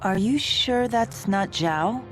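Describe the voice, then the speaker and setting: A woman voicing a composed, frank young woman in an anime game, speaking in a formal, measured way. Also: medium pitch, lively intonation. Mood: curious. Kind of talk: anime game dub acting. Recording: music behind.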